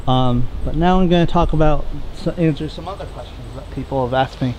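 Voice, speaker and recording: A man's voice: on the high side, brisk, a little mellow, slight background noise, low-quality recording. A man in his twenties chatting casually outdoors in a wooded yard, rambling and correcting himself as he answers viewer questions. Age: 20s. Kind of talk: casual Q&A vlog.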